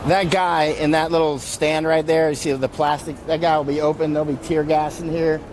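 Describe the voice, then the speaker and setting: A man's voice: on the high side, little pitch movement, fairly quick. A man live-streaming from a city street, talking bluntly and rambling as he narrates what is going on around him. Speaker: war correspondent live-streaming from street protests. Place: busy city street outdoors. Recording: loud street noise behind.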